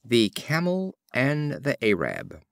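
A man narrating short fables as an audiobook reader, in an expressive storybook style. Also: medium pitch, takes it slowly, gravelly, no echo, studio quality.

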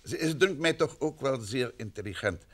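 A courteous, self-assured gentleman detective from an old radio play, acted by a man. His mid-range voice is polite and measured, yet varied in intonation. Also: medium pitch, lively intonation, lightly acted. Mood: serious. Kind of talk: old Dutch radio drama acting.

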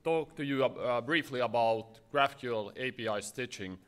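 A man's voice: mid-range voice, a little slow, a bit bright, clear, resonant tone. Nervous man giving a tech talk. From Finland. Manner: nervous, many fillers and restarts. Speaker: conference speaker. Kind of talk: short technical conference talk. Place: conference stage.

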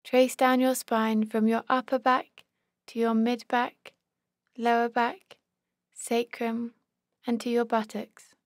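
A woman leading a guided body scan meditation as an instructor, calm and measured, giving short, gentle-paced instructions. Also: on the high side, no room sound, studio quality.

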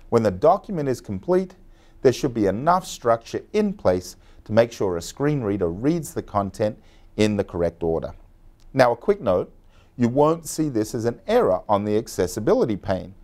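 A man in his thirties, a software trainer, narrating a scripted tutorial in a steady, instructional way. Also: fairly low pitch.

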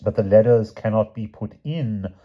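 A man's voice: fairly low pitch, normal speed, lightly acted. A man voicing an exacting, exasperated foreign knight who tutors poetry in formal, stilted phrases with dropped articles, in a storybook reading. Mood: doubtful, polite. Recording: dull, muffled lo-fi audio.

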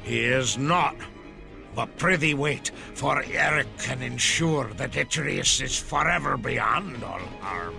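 Very gravelly savage vampire brute. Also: male voice, medium pitch, expressive, takes it slowly, music in the background, full character voice. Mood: threatening. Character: savage, gruff male vampire brute, bare-chested and bearded. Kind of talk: archaic video game acting.

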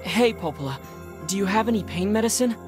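A man's voice: sits high, expressive, a little fast, over music. A young-sounding man playing an earnest, kind teenage boy adventurer in a video game, speaking sincerely and plainly. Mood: worried, urgent. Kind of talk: naturalistic game voice acting.

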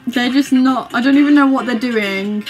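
A woman's voice: a little high, normal speed, darkish tone. A young woman chatting casually in a gushing, giggly, exclamatory way, as a vlogger reacting to videos. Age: young adult. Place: small bedroom, indoors. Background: music from videos being watched.

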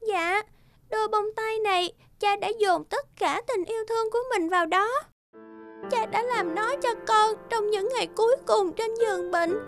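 Sweet little cartoon daughter, very high-pitched, sentimental, light music behind. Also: female voice, moderate pace, fairly bright tone. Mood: tender, wistful. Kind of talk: children's cartoon dialogue.

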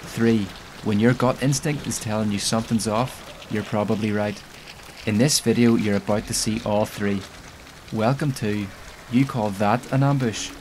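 Low-voiced gamer man over rainy noise. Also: moderate pace, poor audio. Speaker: gaming video creator. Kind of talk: scripted gameplay narration and live team chat. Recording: steady loud background noise. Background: pouring rain and game ambience.

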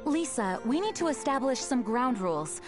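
A woman voicing a stern, composed young knight leader in an anime video game, firm and measured. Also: sits high, very animated, music in the background.

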